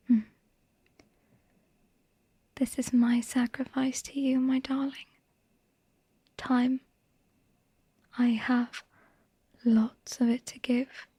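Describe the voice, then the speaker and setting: A tender, possessive vampire wife, played by a woman in an intimate ASMR monologue. Her voice is mellow, hushed and breathy, drifting into whispers. She speaks very slowly in a narrow, gentle melody, close and dry. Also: fairly high pitch, no echo, full character voice. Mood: soothing, affectionate. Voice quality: soft, breathy, half-whispered. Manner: slow, hushed, lovingly murmured.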